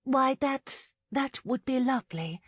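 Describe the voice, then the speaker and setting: Woman voicing a flustered young countess, high and hesitant. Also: animated, measured pace, no echo, studio quality, full character voice. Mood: stunned politeness. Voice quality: breathy murmuring, faltering. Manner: hesitant, stammering. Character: nervous young countess, flustered, uncertain, politely evasive.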